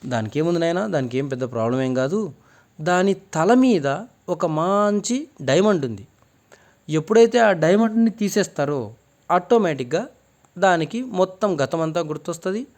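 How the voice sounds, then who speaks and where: Man as a calm, fatherly ashram guru. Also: a little high, normal speed. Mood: calm, assured. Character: calm male swamiji, wise guru of an ashram.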